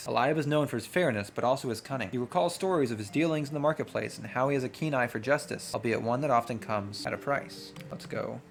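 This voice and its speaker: Clear music plays behind a man with a mid-pitched voice. He is running through a role-playing game, switching between reading narration aloud and excitable commentary to himself. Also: fast, few pauses. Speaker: solo role-playing game player and streamer.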